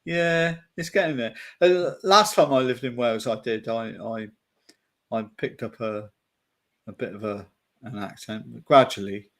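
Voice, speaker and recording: A man's voice: medium pitch, a little slow, a bit bright. A man with a Devonshire accent, co-hosting a podcast in casual film chat, hesitant with many fillers and restarts. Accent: Devonshire English. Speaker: podcast co-host.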